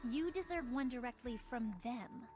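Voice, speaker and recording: A woman's voice: on the high side, unhurried, over music, natural voice. A woman voicing a prim, courteous young lady warrior in a game, formal and very polite. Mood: prim, apologetic.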